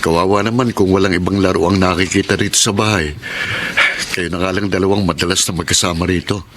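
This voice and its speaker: A man's voice: sits low, steady tone, brisk, noisy background, lightly acted. A man playing a devoted, tender young single father in a Filipino radio drama. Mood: earnest.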